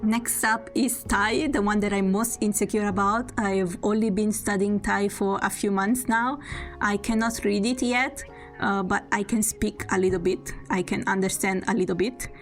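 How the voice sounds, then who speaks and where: A young woman with a heavy Italian accent chats to her stream audience in a mid-pitched voice. She sounds self-conscious and apologetic, laughing lightly between phrases. Soft music plays faintly behind her. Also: steady tone, moderate pace. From Italy. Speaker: VTuber streamer. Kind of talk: casual live-stream self-introduction.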